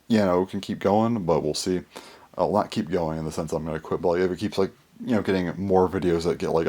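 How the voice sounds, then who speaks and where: A young man with a hoarse, worn-out voice, chatting casually in a rambling way to his channel's viewers. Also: sits low, a little mellow. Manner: rambling, full of fillers and restarts. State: hoarse, voice mostly gone. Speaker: YouTuber. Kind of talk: casual vlog chat.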